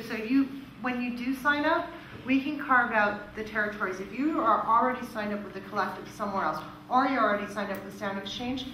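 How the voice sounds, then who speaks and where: A woman giving conversational explanations as a presenter taking questions. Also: mid-range voice. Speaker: music royalty organisation manager presenting. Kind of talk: informal question and answer at a presentation. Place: meeting room in front of an audience. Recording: echoey room, distant mic, clear background noise.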